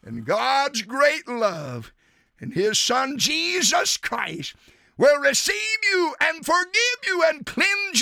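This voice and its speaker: A man preaching an impassioned evangelistic sermon on the radio, often shouting and drawing out key words, with repeated appeals to "dear sinner" and "my friend.". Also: extremely high pitch, creaky, no echo, clean studio sound.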